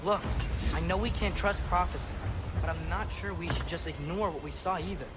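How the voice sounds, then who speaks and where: A man plays a teenage boy adventurer in a video game. The voice is somewhat high, earnest and full of curiosity, with casual phrasing. Clear ambient noise runs behind it. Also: a little fast, close to an everyday voice. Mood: earnest, curious.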